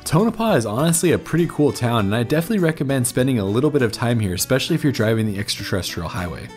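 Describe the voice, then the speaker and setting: A man's voice: mid-range voice, big pitch swings, racing, a little mellow, faint music behind. Man narrating a travel voice-over. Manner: informative, recommending tips to viewers. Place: voice-over recorded apart from footage.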